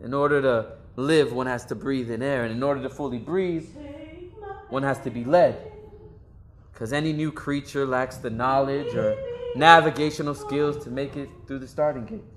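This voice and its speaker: A man's voice: medium pitch, normal speed, lightly acted. Young male actor as a reflective young man, mid-range voice, earnest stage monologue, faint hiss. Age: young adult. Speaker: lead actor in a stage play.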